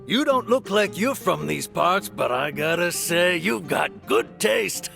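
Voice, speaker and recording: A friendly eatery server in a video game. His voice is high and bright with heavy creak, and he speaks in a lively, swinging, welcoming way. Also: a little slow, soft background music, exaggerated voice. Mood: cheerful, welcoming. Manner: chipper, hospitable.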